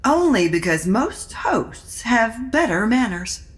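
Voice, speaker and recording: A woman acts a tart society lady in an audio drama. She is haughty and unflappable, with dry, sardonic phrasing. Her intonation is highly animated. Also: slow pace. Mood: tart, defiant.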